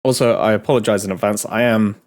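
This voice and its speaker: A man hosting a casual game developer Q&A, a bit ill and nasal, rambling with many pauses and restarts. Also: fairly low pitch, steady tone, darkish tone. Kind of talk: casual dev talk Q&A.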